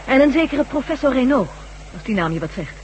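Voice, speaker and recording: A cool-headed woman doctor with a high voice, giving out plans and orders briskly. She sounds assured and authoritative. It is radio drama with faint noise on an old recording. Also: big pitch swings, darkish tone, lo-fi sound. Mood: confiding. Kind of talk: Dutch radio drama acting.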